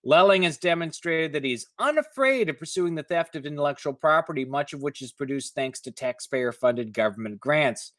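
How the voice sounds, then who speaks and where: A man's voice: a little high, lively intonation, normal speed, hardly any pauses, a bit bright, dry recording. Opinionated man reading news, close dry sound. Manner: opinionated, skeptical. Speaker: host reading news stories with commentary. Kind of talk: reading articles aloud with sardonic ad-libbed asides.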